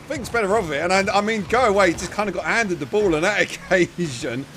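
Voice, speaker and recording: A man giving chatty live play-by-play commentary on a match, his voice starting to go hoarse. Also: high-pitched, a little fast, slight background noise. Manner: chatty, self-deprecating asides, bursts of excitement on big plays. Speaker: esports commentator.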